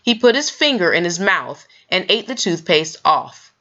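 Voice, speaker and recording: Expressive low-voiced woman reading a storybook. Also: normal speed, lo-fi sound. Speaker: storyteller reading a children's book aloud. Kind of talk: expressive scripted narration.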